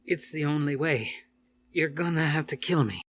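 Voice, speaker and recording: Man playing a blunt, desperate fighting woman, raised pitch. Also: normal speed, a little hiss or hum, lightly acted. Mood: resigned, resolute. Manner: blunt, urgent, forceful.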